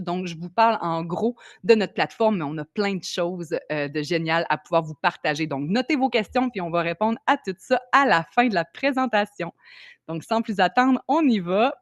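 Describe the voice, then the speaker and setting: A woman's voice: lowish voice, moderate pace, no echo, studio quality. A woman with a Québécois accent, chatty and upbeat, hosting a live video call presentation and greeting people from the chat. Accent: Québécois French. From Quebec, Canada. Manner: chatty and upbeat, reads out chat names, frequent fillers. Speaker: presenter of an online sales meeting. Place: home in Tulum.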